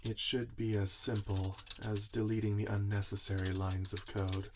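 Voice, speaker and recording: A man playing a gentle, earnest monster inventor in an ASMR roleplay, speaking softly in a soothing, deliberate, reassuring way. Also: fairly low pitch, little pitch movement, natural voice. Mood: focused, musing. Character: gentle, earnest male monster inventor tending his robot creation. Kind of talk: soft-spoken ASMR roleplay. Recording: audible background noise, typing and clicks. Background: computer keyboard typing and clicks.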